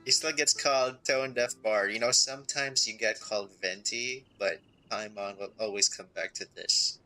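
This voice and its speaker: A man's voice: fairly low pitch, steady tone, normal speed, bright, forceful tone, faint music behind, poor audio. A man giving casual livestream commentary over a game in short, offhand, muttered reactions. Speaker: streamer, game player.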